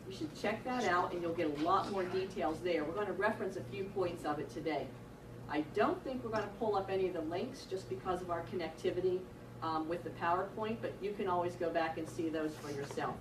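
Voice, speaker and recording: Woman presenting in an echoey hall. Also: fairly low pitch. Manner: loud teacher voice, walks the audience through slides. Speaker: workshop presenter. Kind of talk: professional development presentation. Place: lecture room, broadcast to remote sites. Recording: echoey room from far off, noisy.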